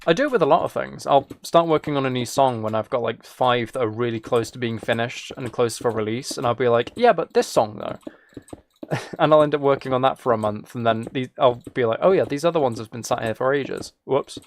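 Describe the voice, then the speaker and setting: A man with a creaky, mid-pitched voice talks casually through his gameplay. He rambles as he thinks aloud, with a playful, self-mocking streak. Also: fairly quick, a little hiss or hum.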